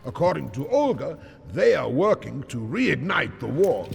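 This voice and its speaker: An actor playing a proud, embattled ruler in a game cutscene, formal and imperious. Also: male voice, fairly high pitch, big pitch swings, unhurried, gravelly, exaggerated voice. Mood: grave, earnest. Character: embattled, commanding male monarch, earnest and proud. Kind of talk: dramatic game cutscene acting. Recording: long hall reverb with music.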